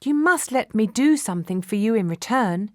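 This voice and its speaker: A woman's voice: fairly high pitch, animated, normal speed, a little mellow, no echo, clean studio sound, close to an everyday voice. A woman voicing a tiny, sweet, tender-hearted fairy-tale girl who speaks with earnest emotion. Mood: eager.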